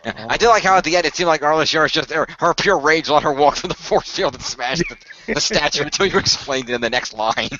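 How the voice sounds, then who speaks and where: Excitable man, quick talker, stumbles over words, bright lively voice, dull lo-fi sound. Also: fairly high pitch, a little hiss or hum. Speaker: cast member. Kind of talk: casual group chat.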